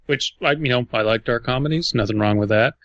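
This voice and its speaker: A man's voice: medium pitch, a little fast, fairly bright tone, lo-fi sound. A man co-hosting a podcast, giving his opinion of a story in casual, conversational critique. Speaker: podcast co-host.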